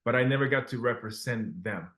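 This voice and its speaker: A man's voice: mid-range voice, brisk, a bit bright. Earnest man. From Peru. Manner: earnest, many restarts and fillers. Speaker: guest, restaurant owner. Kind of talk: casual interview storytelling.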